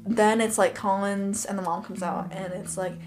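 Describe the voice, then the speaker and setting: A young woman co-hosting a podcast, excitedly retelling a story with lots of "like" and laughter. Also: medium pitch, normal speed, over music. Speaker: podcast co-host. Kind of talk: casual chat.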